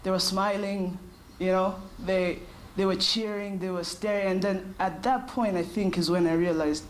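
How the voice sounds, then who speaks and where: A woman in her thirties answers interview questions in a measured, reflective way. Her voice sits fairly low and her pace is unhurried. A faint noise hums behind her. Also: steady tone. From Kenya. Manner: thoughtful, measured, with short pauses. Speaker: interview guest.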